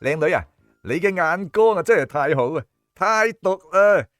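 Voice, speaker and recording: A man playing a shameless, easygoing streetwise job applicant in a comic audio drama, effusive and flattering. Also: high-pitched, no room sound, clean studio sound, soft background music. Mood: gushing flattery. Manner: effusive, flattering, drawn-out exclamations.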